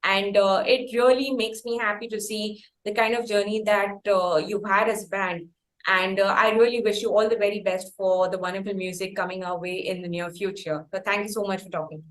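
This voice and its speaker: A woman's voice: mid-range voice, fairly even intonation, fairly quick, slight background noise, poor audio. A woman interviewer with an Indian accent and a mid-range voice. She talks conversationally, in long, effusive sentences, as the host of a music interview. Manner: effusive, wordy.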